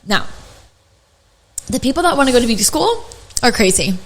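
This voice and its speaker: A young adult woman with a raspy voice, hosting a podcast and telling stories in casual chat full of fillers and restarts. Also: a little high, big pitch swings, moderate pace, a little hiss or hum. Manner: chatty storyteller, many fillers and restarts. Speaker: podcast host.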